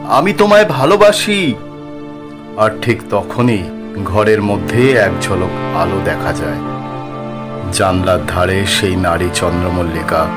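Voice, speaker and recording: A man with a fairly low voice narrates a scripted horror tale. He builds suspense with dramatic delivery and deliberate pauses. Music is clearly heard behind him. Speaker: storyteller.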